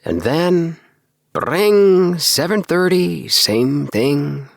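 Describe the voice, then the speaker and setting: A man voicing an excitable, earnest young dreamer in an audiobook, with an emphatic, self-satisfied manner. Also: on the high side, very slow, vocal fry, dry recording, studio quality, voice pushed up, full character voice. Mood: exasperated, bored. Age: young adult. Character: excitable young dreamer, eager, earnest, obsessed with house plans. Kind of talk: acted audiobook dialogue.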